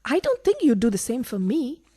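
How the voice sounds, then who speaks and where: Actress, nervous fickle mistress, high voice, highly animated, guilt-ridden, fretful, melodramatic radio play. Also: female voice, brisk, breathy edge, full character voice. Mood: guilty, petulant. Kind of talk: farcical radio play acting.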